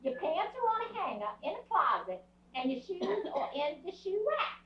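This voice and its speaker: An actress playing a nagging, aggrieved middle-aged wife in old-time radio comedy style, scolding and whining with sarcasm. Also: female voice, very high-pitched, big pitch swings, normal speed, far from the mic, slight background noise. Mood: bossy. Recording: dull, echoey old radio recording, off-mic.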